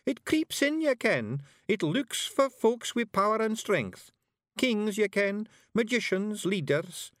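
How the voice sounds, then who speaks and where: A man voicing a tiny, nervous, learned young fairy bard, squeaky and earnest. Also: very animated, slow pace, fairly bright tone, no room sound, clean studio sound, heavily acted. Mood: ominous.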